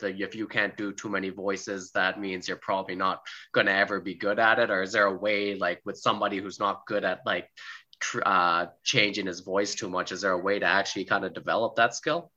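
Male comedian host, low bright voice, relaxed, lots of fillers, dull lo-fi recording. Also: normal speed. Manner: relaxed, hesitant with many fillers. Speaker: podcast host. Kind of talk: casual interview chat.

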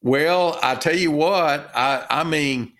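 Slow-talking Texan man, lots of fillers. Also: a little high, a bit bright. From Texas. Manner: slow, halting, many fillers and restarts. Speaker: guest, retired business owner and author. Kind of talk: casual interview talk.

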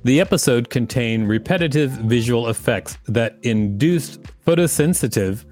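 Man co-hosting a reading podcast. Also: mid-range voice. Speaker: podcast co-host. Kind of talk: reading aloud with casual commentary.

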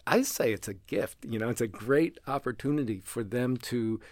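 A man's voice: mid-range voice, normal speed, no echo, studio quality. An older man who directs a language center, telling stories in a casual interview, acting out dialogue and laughing often. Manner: animated storyteller, acts out dialogue, laughs often.

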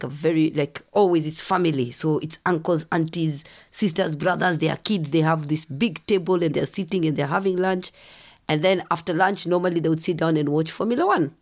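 A middle-aged woman from Kenya, telling lively stories as a podcast guest and acting out the voices of people she quotes. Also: lowish voice. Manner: animated storyteller, acts out quoted voices. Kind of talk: casual storytelling interview.

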